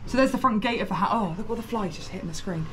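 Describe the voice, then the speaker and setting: A young British woman hosts an exploring vlog inside an abandoned house. She is chatty and excitable, gushing over every find. Her voice sits in a middle pitch, with a faint hiss behind it. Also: very fast, rough recording. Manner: excitable, chatty, exclaims often. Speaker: urban explorer host. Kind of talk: casual exploring vlog chat.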